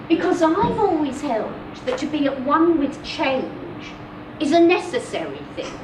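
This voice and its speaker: A woman giving an expressive, deliberate dramatic reading of a first-person short story, with measured pauses. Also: sits high. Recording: roomy, distant mic, clear background noise.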